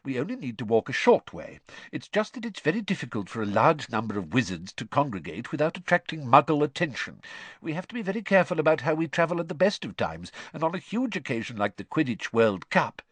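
A male reader performs a kindly middle-aged British wizard dad from a storybook. His voice is raised and swooping, a little husky, as he eagerly explains things in fussy detail. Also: on the high side, big pitch swings, fast, a bit bright, no echo, pitched above the performer's own voice. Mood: explaining. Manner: eager, chatty, matter-of-fact explaining.

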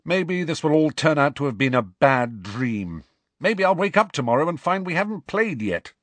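Man voicing an emotional teenage schoolgirl, pitched high. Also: very animated, normal speed, no room sound. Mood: dejected. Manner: emphatic, indignant. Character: outraged, dismayed teenage schoolgirls, earnest and emotional. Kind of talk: audiobook character voice.

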